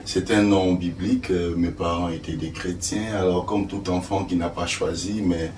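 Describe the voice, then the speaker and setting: An older man from Congo, an actor and stage director answering interview questions slowly with many fillers. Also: sits low, steady tone, a little mellow. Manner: slow, hesitant, many fillers. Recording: echoey room, distant mic, faint noise.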